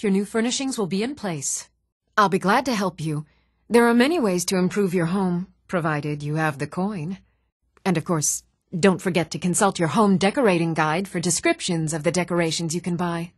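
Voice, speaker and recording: An actress playing an earnest, even-toned woman in a fantasy video game. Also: fairly low pitch, dry recording, studio quality. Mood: polite, businesslike. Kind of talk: video game character dialogue.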